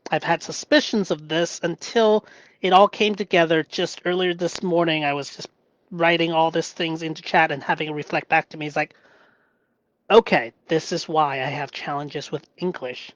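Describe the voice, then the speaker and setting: A man from Hong Kong whose first language is Cantonese, talking through his past in a halting, reflective monologue, often checking in with "right?". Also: on the high side, fairly even intonation, a bit bright, no room sound, muffled recording. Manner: halting, searching for words, often asks "right?". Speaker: vlogger.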